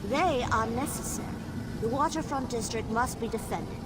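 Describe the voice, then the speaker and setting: A woman playing an imperious, menacing empress possessed by a dark power in a fantasy video game, haughty and theatrical. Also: on the high side, moderate pace, very noisy, lightly acted. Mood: cold, indignant. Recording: loud music over the voice.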